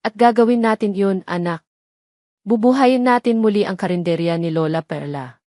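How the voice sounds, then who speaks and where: A woman's voice: mid-range voice, moderate pace, darkish tone, no room sound, studio quality, lightly acted. A woman voicing a hurt but resolute young wife and mother in dramatic story dialogue, speaking earnestly. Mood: tender, determined.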